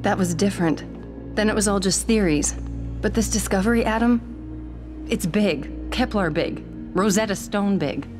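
An actress playing an anxious, earnest research scientist in a video game. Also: female voice, mid-range voice, normal speed, music in the background, close to an everyday voice. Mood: uneasy, earnest. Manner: earnest, uneasy.

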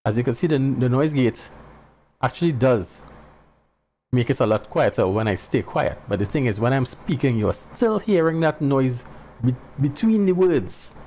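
A man in his thirties, hosting his channel, calmly explaining a microphone noise test to viewers on a headset mic in a small home room. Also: medium pitch, normal speed. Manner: plain, explanatory, talks straight to viewers. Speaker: channel host. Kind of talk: casual tech demo talk. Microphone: headset boom mic. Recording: faint background birdsong noise. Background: faint birds chirping.

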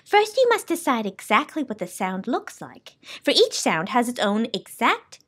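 A woman's voice: fairly high pitch, very animated, normal speed, a bit bright, husky, exaggerated voice. A woman reading a storybook as a fussy, imperious, wistful female keeper of sounds, with dramatic, bossy emphasis. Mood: brisk explaining.

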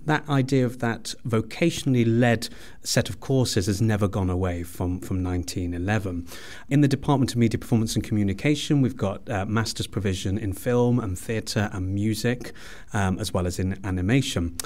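A man's voice: lowish voice, moderate pace, darkish tone. A man speaking as an academic guest in an interview, giving explanatory answers. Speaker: university head of department, interview guest.

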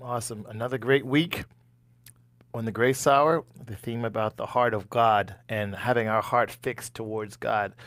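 A man's voice: medium pitch, fairly bright tone, dry recording. A middle-aged man, a pastor, giving a devotional message in a small studio on a headset mic. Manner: earnest, personal preaching tone.

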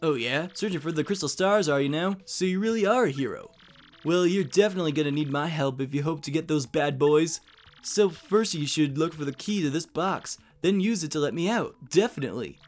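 A man's voice: a little high, expressive, brisk, soft background music, close to an everyday voice. A man voicing a brash, chummy cursed spirit trapped in a box, talking in cocky slang for a fan-dubbed video game. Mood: cocky, scheming.

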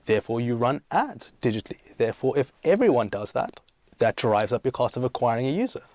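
Young male startup CEO as podcast guest, medium pitch, emphatic explainer, conversational, dry recording. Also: lively intonation, fast, a little mellow, dry recording. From South Africa and Greece. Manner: emphatic, explanatory, poses and answers own questions. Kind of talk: conversational interview answers.

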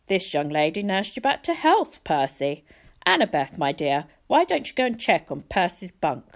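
A woman voicing a kindly older male teacher in a storybook, courteous and gently wry. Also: on the low side, sing-song, normal speed.

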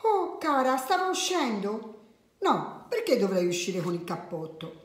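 A woman's voice: a little high, very animated, a little slow, roomy sound, pitched above the performer's own voice. A woman voicing a flustered, polite neighbour lady in a children's story, effusive and exclamatory. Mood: polite, apologetic.

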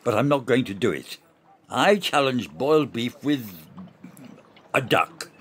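A man playing a stubborn, gloating older officer in an amateur radio play, savoring his words with emphatic, drawn-out descriptions. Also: mid-range voice, sing-song, fairly bright tone, breathy edge, exaggerated voice. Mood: teasing challenge. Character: stubborn, gloating older male soldier-officer relishing imagined food.

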